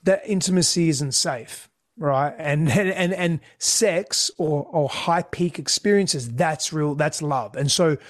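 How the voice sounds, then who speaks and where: This is a man whose was Greek. He talks openly and reflectively as an interview guest, often doubling words mid-thought. His voice sits a little high for a man. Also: slow pace. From Australia. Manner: candid, repeats words when searching.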